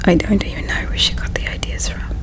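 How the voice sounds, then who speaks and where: A woman performing a whispered ASMR roleplay as a regretful, solemn alien princess, with a breathy, hushed, halting delivery. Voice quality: breathy whisper with soft creak. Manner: slow, halting, hushed storytelling.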